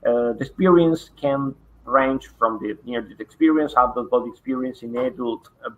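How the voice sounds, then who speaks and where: A man whose first language is Spanish gives an online conference lecture in English. He hesitates often, filling pauses and repeating words. His voice sits at an ordinary pitch, and the call audio is a little dull. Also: fairly bright tone. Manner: halting, many fillers and repeated words. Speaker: conference presenter.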